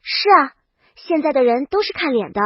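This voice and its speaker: A woman's voice: high voice, very animated, moderate pace, no echo, dull audio. A woman voicing a brash, boastful young princess in an audiobook, bold and casual. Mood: breezy. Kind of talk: audiobook character dialogue.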